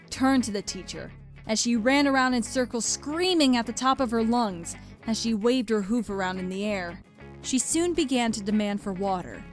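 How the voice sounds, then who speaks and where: A woman reading scripted story narration as the narrator of an audio drama. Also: mid-range voice.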